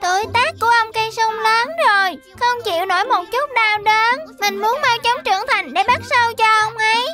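A woman's voice: very high-pitched, moderate pace, hardly any pauses. A woman voicing a small, eager young woodpecker in a dubbed children's cartoon, childlike and pleading. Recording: loud children's music behind the voice.

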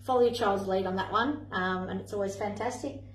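A young woman, a playgroup facilitator, tells a picture story for toddlers and their parents in an animated, sing-song way, with lots of exclamations. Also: medium pitch, brisk, far from the mic. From Australia. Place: indoor playroom, in front of a screen. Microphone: far camera. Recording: echoey room, voice from afar.

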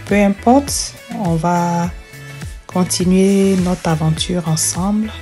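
A woman's voice: fairly low pitch, slow, mellow, faint music behind. A middle-aged woman from Africa telling a personal story as a voice-over for her own video, chatty, and often restarting her words. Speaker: hair braiding vlogger.

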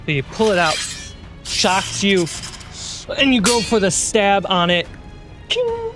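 A man's voice: high-pitched, huge pitch swings, slow pace. A man working as game master, narrating a tabletop game in a dramatic, descriptive way. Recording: loud music under the voice. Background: prominent music score.

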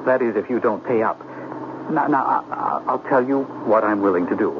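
A man's voice: mid-range voice, a little fast, lightly acted. A man acting a formal, flustered, well-off brother in a 1970s radio drama, stammering a little. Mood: uneasy. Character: proper, flustered well-off brother, indignant and wary. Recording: tinny, very noisy old radio broadcast.

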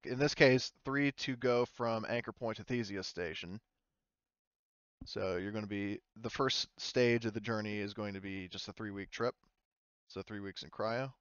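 A man's voice: on the low side, fairly bright tone, clear, resonant tone, no room sound, highs cut off. A man acting as game master, informally narrating and explaining an online tabletop roleplaying game with hesitant phrasing.

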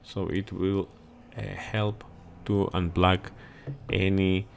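Slow, low-voiced man touring an engine room. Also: little pitch movement, creaky, a little hiss or hum. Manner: non-native English, slow and repetitive, doubles words for emphasis. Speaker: marine chief engineer giving a ship tour. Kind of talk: explanatory walkthrough video. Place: ship engine room, indoors. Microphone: body-worn action camera.

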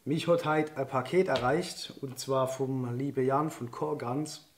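A man, chatting enthusiastically through an unboxing, his voice slightly hoarse from a cold. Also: mid-range voice, fairly even intonation, a little slow. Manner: enthusiastic, chatty, laughs often. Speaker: outdoor and shooting sports vlogger. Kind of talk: casual unboxing vlog. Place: indoor room with a wooden table. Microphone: camera-facing, seated at a table. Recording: echoey room, faint noise.

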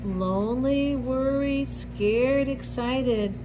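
A middle-aged woman reading a picture book aloud to young children, with deliberate simple phrasing and little asides. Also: sits high, background noise. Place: indoor classroom with sink and counter.

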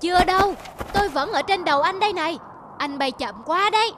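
A woman voicing a small, clever young sparrow in a cartoon, speaking with calm confidence and teasing. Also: extremely high pitch, fairly quick, noisy background. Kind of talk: cartoon voice acting. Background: loud music.

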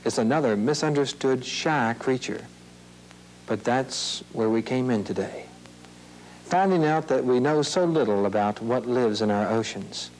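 A man reading scripted nature narration for children in a measured, explanatory, plain-worded way. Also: medium pitch, darkish tone, low-quality recording. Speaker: narrator of an educational film series. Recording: hissy, humming old videotape soundtrack.

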